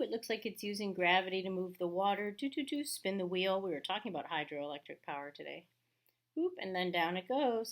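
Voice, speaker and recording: A woman with a medium-pitched voice speaks as an elementary school teacher to children. She delivers a read-aloud lesson, mixing storybook reading with chatty, explanatory asides about what is shown. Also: a bit bright. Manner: explanatory, pauses to comment on pictures.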